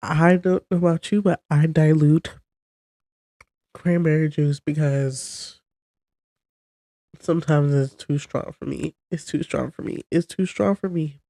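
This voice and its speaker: Young woman, congested, unhurried talk, mellow tone, chatty rambling podcast host. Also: sits low, fairly even intonation, no echo. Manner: chatty and rambling, talking to camera. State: congested from a cold. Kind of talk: casual solo chat.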